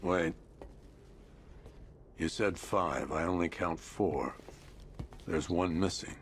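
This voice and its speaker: Deep-voiced, gruff old police commissioner. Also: male voice, slow pace, slight background noise. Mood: alarmed. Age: older. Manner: gruff, incredulous. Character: weary, gruff older male police commissioner. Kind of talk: video game cutscene acting.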